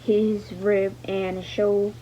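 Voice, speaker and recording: A woman's voice: mid-range voice, little pitch movement, drawn-out words. A woman reading a novel aloud hesitantly, stumbling over words, repeating fragments and speaking the punctuation. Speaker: learner reading a book aloud. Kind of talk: halting read-aloud of a novel. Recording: dull lo-fi sound with faint noise.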